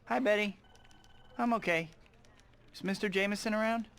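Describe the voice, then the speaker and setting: A man's voice: high voice, moderate pace, fairly bright tone, smooth. A young man playing an earnest, wisecracking student hero in a video game, casual and quippy. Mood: friendly. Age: young adult.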